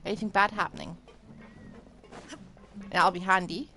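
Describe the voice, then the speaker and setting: A woman's voice: fairly low pitch, fairly even intonation, unhurried, pushed and bright, faint music behind, poor audio. A woman streaming a game, giving casual, wry and playful reaction commentary in asides.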